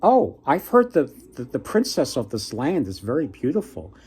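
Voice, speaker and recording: A man's voice: medium pitch, huge pitch swings, normal speed, a little mellow, husky, exaggerated voice. A man voicing a crafty, confident little red fox in a folktale, breezy and self-assured. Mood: confident, persuasive.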